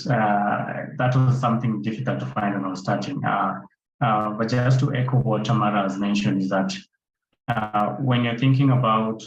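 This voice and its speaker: Low-voiced man in an echoey room. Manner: deliberate, poses rhetorical questions. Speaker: panelist, content creator. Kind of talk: conversational advice on a panel. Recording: echoey, dull, faintly noisy online call.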